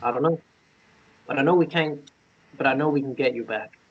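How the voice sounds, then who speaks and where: An actor playing a shy, apologetic, gentle young man in a romance table read, stammering and halting as he speaks. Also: mid-range voice, dull audio, a little hiss or hum, lightly acted. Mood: worried.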